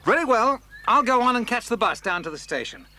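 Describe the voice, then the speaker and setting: High-pitched, irascible father. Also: lively intonation, brisk, bright, forceful tone, heavily acted. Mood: brusque. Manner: brusque, blustering. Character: irascible, indignant father and uncle.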